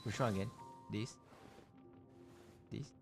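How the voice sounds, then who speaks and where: A man chatting casually as he hosts a game livestream, halting and repeating himself, with loose non-native English phrasing. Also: on the low side, vocal fry, faint music behind. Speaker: livestreamer hosting a game stream.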